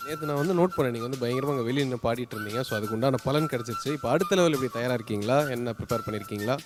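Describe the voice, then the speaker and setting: A man's voice: medium pitch, lively intonation, very fast. A man with a Tamil accent hosting a talent show, interviewing a contestant in an encouraging, congratulatory way. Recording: music behind the voice.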